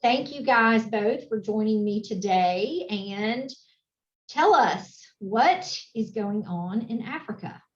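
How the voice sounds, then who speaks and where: A woman introduces a guest at an unhurried, deliberate pace, with a pitch in the middle range. Her voice sounds roomy and echoey. The audio is dull and lo-fi. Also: lively intonation. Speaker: webinar host.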